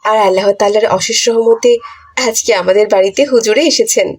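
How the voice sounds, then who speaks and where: A woman's voice: mid-range voice, fairly even intonation, fairly quick, breathy edge, close to an everyday voice. A woman playing a hospitable, devout village wife in a moral cartoon, speaking politely and matter-of-factly. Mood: explaining. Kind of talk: Islamic moral cartoon dialogue.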